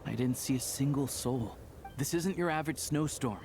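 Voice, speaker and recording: An actor playing an easygoing, dependable young theater worker in a video game, speaking in a plain, informal, steady way. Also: male voice, medium pitch, normal speed, background noise, lightly acted. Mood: uneasy.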